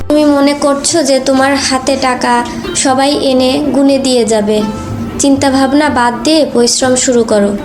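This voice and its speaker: A woman voices a fed-up cartoon wife and mother from a village, hard-working and quick to nag. Her voice is high, over clear music. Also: fairly even intonation, lightly acted. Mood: fed up, scolding. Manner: nagging, complaining. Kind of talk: cartoon voice acting.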